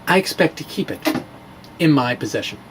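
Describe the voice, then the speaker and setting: A man's voice: a little high, animated, a little fast, background noise. A man giving a dramatic reading of his own blog with casual asides, wry and playful with theatrical emphasis.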